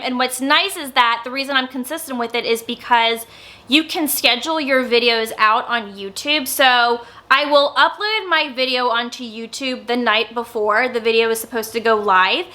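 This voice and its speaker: A young woman in a casual sit-down vlog, talking loudly and openly, with some stammering and lots of filler words. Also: a little high. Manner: naturally loud, with stammers and many filler words. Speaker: YouTuber. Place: indoors, sit-down filming setup.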